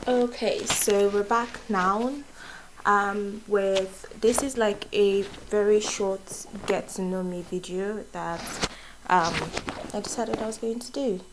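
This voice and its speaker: A woman's voice: mid-range voice, very noisy. A young adult woman with a Nigerian accent, whose first language is not English, chatting casually in a vlog, laughing often and wandering into asides. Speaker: vlogger. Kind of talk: casual get-to-know-me vlog.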